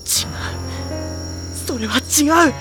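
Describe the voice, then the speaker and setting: A sensitive high-school boy with a youthful, high voice. He is earnest and anxious, telling his own story as audio drama, with clear music behind him. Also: very slow, exaggerated voice. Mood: desperate pleading. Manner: earnest, introspective. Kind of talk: dramatic first-person narration and dialogue.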